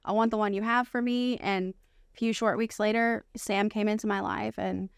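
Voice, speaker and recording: A woman's voice: medium pitch, fairly even intonation, normal speed, vocal fry, smooth, dry recording, clean studio sound. An American woman talking casually and earnestly about personal experience as an interview guest, repeating phrases for emphasis. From United States. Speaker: podcast guest.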